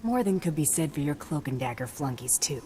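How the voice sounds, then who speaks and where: An actress playing a tough, cocky, cold-blooded bounty hunter in a video game, with a blunt, terse, swaggering delivery. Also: female voice, low voice, expressive, brisk, warm tone. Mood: cocky, defiant. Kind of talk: scripted video game acting. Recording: reverberant hall, faint noise.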